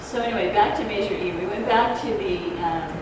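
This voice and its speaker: A soft-voiced woman giving an informal explanatory talk at a public forum, speaking in a halting, hesitant way. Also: mid-range voice. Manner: halting, hesitant, loses her thread. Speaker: ballot measure proponent, debate speaker. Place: community grange hall. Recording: echoey hall, distant noisy microphone.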